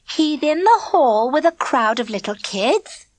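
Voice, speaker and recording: A woman's voice: high voice, sing-song, no room sound, pitched above the performer's own voice. A woman voicing a smug, sugary tattletale schoolgirl who drags out her words. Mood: drawn-out. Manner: sugary, smirking, drawn-out.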